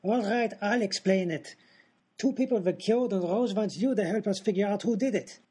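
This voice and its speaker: A woman's voice: mid-range voice, normal speed, warm tone, breathy edge, lightly acted. A woman playing a plain-spoken, practical sidekick who patiently explains things in a radio comedy. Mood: matter-of-fact.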